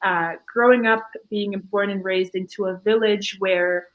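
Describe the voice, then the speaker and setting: Young woman from Fiji, podcast guest, lively storyteller with lots of laughs. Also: mid-range voice, measured pace, lo-fi sound. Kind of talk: casual interview chat.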